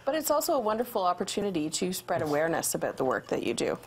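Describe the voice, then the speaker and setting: A woman's voice: lowish voice, big pitch swings, very fast, a little hiss or hum. A woman in her forties hosting a community TV interview, asking prompting questions in a friendly way. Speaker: TV host. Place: local TV studio set.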